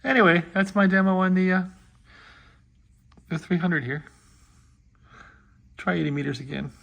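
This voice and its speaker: A man's voice: high voice, normal speed, creaky, fairly bright tone, lo-fi sound. A man giving a casual hands-on demo of restored equipment, chatty and explaining as he works at an indoor workbench. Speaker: equipment restorer demonstrating a receiver.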